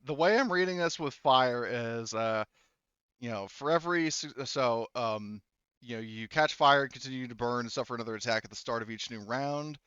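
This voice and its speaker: A man acting as game master of a casual tabletop roleplaying game, haltingly thinking aloud as he goes. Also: medium pitch, bright, forceful tone, smooth, no echo, lo-fi sound.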